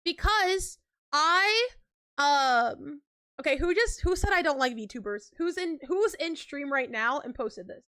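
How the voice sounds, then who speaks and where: A woman's voice: sits high, expressive, unhurried, bright, forceful tone. A young woman streaming live, chatting casually and rambling with lots of filler words and frequent laughs.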